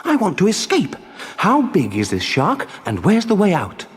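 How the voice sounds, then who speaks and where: A man voicing a frightened, pleading little wooden puppet boy in a storybook, piteous and excitable. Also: high-pitched, normal speed, in a large hall, a little hiss or hum, voice pushed up. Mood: desperate.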